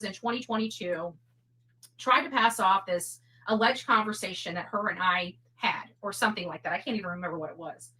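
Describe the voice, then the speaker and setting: A woman giving casual, rambling opinion commentary in a halting way, often repeating her words. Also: mid-range voice, bright, forceful tone, rough recording. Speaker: online commentator.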